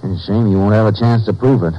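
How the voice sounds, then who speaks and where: An actor playing a calm, firm American Texas Ranger, terse and matter-of-fact, in 1950s radio drama style. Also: male voice, on the low side, fast talker, close to an everyday voice. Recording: muffled old radio recording, faint music.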